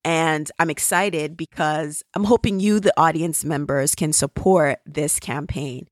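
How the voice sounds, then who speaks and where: A woman's voice: deep voice, unhurried, dry recording, studio quality. A woman with a Jamaican accent hosting her own podcast, talking casually and earnestly as she thanks listeners and asks for their support. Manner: earnest, grateful appeal for support. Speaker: podcast host. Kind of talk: casual solo podcast update.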